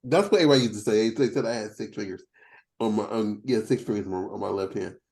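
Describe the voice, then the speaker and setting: A man's voice: on the low side, fast, darkish tone. A forty-year-old man chatting casually on a podcast, rambling and poking fun at himself. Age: 40s. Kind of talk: casual chat.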